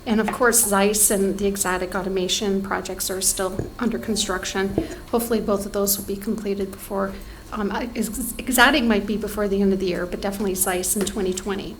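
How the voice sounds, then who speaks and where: A woman's voice: medium pitch, steady tone, fairly quick, slight background noise. A woman giving a report at a township board meeting, talking conversationally with many ums and restarts, her voice fading from allergies.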